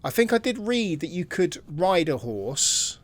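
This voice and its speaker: A man's voice: fairly high pitch, very animated, measured pace. A man hosting a gameplay video, thinking aloud in informal, casual commentary.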